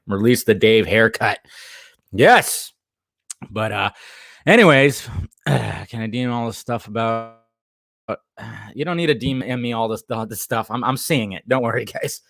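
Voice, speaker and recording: Hoarse, chatty man live streaming from home. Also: fairly low pitch, fairly bright tone, no room sound, studio quality. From Southern California. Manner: rambling, chatty, reads chat aloud, laughs often. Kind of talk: casual live stream chat. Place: at home in his apartment.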